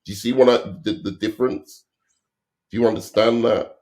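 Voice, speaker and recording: A man with a very low voice, strained and fading as he loses it. He argues his film critique at length in a casual chat. He stops and restarts often. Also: fairly even intonation, a little mellow. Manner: halting, many restarts and fillers; analytical, explains at length. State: losing his voice. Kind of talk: casual movie review debate.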